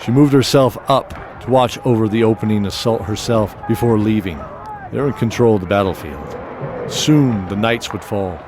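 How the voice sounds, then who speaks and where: A man's voice: lowish voice, normal speed, background noise. A man narrating a scripted fantasy story with dramatic, descriptive storytelling. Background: music.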